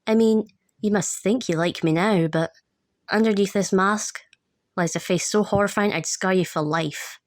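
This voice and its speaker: A woman's voice: mid-range voice, normal speed, no echo, studio quality, lightly acted. A woman playing a playful, theatrical young masked vigilante in audio drama dialogue, with a teasing manner.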